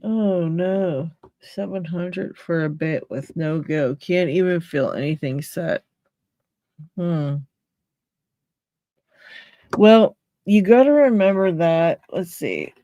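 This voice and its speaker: A woman's voice: on the low side, slow, pauses often, mellow, dry recording. A woman with a Pittsburgh accent, hosting a casual live stream and chatting with viewers while she reads names from chat and laughs often. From Pennsylvania, USA. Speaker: livestream host.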